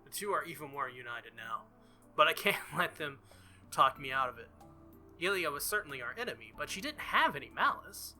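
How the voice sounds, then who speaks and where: A man's voice: fairly high pitch, big pitch swings, fairly quick, bright, forceful tone. A man reading a visual novel aloud as a let's-play host, theatrical and jokey, with casual asides. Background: faint game music.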